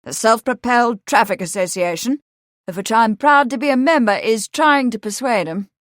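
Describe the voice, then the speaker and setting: A woman voicing a brash, genial young upper-class man in an audiobook, talking emphatically in old-fashioned gentlemanly slang. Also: a little high, lively intonation, normal speed, no echo, clean studio sound, lightly acted. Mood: cheerful, unruffled.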